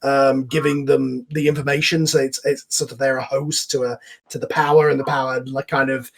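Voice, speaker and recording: A man's voice: medium pitch, little pitch movement, brisk, slightly rough. A man hosting a casual discussion over an online voice call, explaining things in a rambling way as a show's creator. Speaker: host and series creator.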